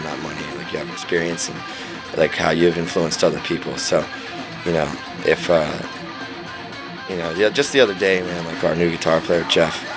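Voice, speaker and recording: A man's voice: low voice, rough recording. A young man, a band singer, chatting casually in an interview, laid back, swearing freely and filling his talk with "like" and "man". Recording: loud music playing behind.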